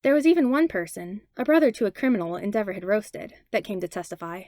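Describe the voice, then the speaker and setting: A woman narrates a piece of fiction from a script. Her voice sits at an average female pitch, and the recording is close and dry, with hardly any room sound. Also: expressive, fast, warm tone, no room sound. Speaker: podfic reader.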